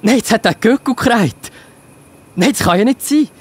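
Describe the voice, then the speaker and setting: A man's voice: very high voice, expressive, normal speed, slightly rough, heavily acted. A man with a Swiss German accent playing a frightened, guilt-stricken Bible disciple in an emotional children's audio drama. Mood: panicked. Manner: emotional, exclamatory.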